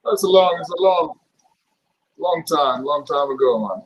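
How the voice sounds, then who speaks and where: A middle-aged man, a guest actor chatting casually on a video call, relaxed and jokey. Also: fairly high pitch, a little slow, low-quality recording. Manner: relaxed, laughs often, jokey. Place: indoors, room with curtains. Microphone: webcam mic on video call.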